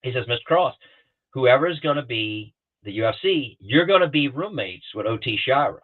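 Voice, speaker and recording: A man telling rambling, animated pilot stories on a talk show, with many restarts and acted-out quotes, heard over a remote call. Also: medium pitch, normal speed. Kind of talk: casual storytelling on a talk show. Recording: tinny phone-like line.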